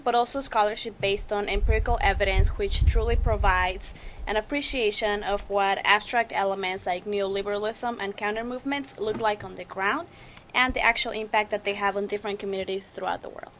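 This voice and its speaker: A woman's voice: mid-range voice, a bit bright, slight background noise. A woman undergraduate from Mexico City introducing herself in careful, academic wording. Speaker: undergraduate student. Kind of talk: prepared self-introduction.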